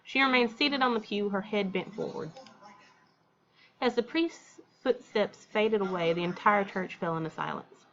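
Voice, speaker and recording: A woman's voice: medium pitch, normal speed, a bit bright, highs cut off, slight background noise. A woman reading a visual novel aloud as a let's player, with informal, colloquial reactions along the way.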